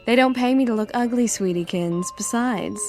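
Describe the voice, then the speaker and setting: A woman's voice: on the high side, moderate pace, darkish tone, soft background music, natural voice. Woman playing a vain, affected movie diva. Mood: coy, placating. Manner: affected, imperious, cooing endearments. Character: vain, coy, demanding glamorous movie star woman. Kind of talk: radio drama acting.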